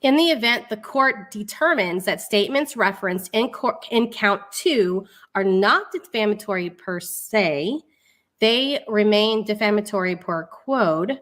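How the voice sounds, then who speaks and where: Woman, mid-pitched voice, livestream host, casual commentary, read-aloud passages, sarcastic and mocking delivery. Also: expressive, a little slow, a bit bright. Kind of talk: live online commentary, reading a legal document aloud with casual asides.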